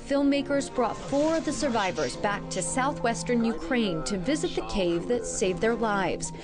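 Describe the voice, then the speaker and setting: Woman news reporter, clear measured delivery. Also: fairly high pitch, lively intonation, over music. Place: city street outdoors. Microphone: handheld mic.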